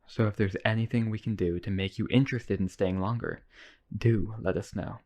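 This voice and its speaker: A man's voice: on the low side, fairly even intonation, normal speed, darkish tone, natural voice. A man playing a lonely, obsessive, wealthy vampire brother in a boyfriend ASMR roleplay, coaxing and polite. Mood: eager, coaxing.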